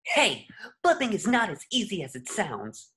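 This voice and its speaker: An excitable young male cartoon sponge, acted by a woman, reads with a very lively, swinging pitch. He is earnest and eager, throwing out each line like an exclamation. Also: a little slow, full character voice. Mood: defensive. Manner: eager, exclamatory, indignant when teased. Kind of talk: cartoon script table reading.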